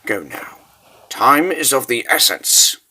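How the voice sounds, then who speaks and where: Man acting a stern, commanding king, measured pace. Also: mid-range voice, very animated, bright, forceful tone, slightly rough, heavily acted. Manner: formal, commanding. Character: stern, burdened king commanding a besieged city. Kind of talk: dramatic comic dub acting.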